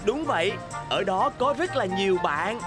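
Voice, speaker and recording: A woman voicing a cheerful, friendly young boy bus in a cartoon dub, laughing warmly like a big brother. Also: medium pitch, expressive, moderate pace, loud music behind the voice, lightly acted. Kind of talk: cartoon dubbing.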